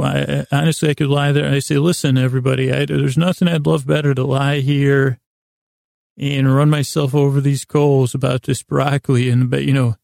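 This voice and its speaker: Very creaky, monotone man rambling gently. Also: mid-range voice, no echo, clean studio sound. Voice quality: creaky, gravelly. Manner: meandering and halting, with repeated false starts and tangents. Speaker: host of a bedtime sleep podcast. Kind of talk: rambling, unhurried bedtime monologue.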